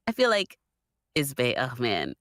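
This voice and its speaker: Animated mid-low voice in casual discussion. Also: a little slow, no room sound, clean studio sound. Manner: conversational, opinionated. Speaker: guest on a podcast listen-along. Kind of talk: casual discussion, giving opinions.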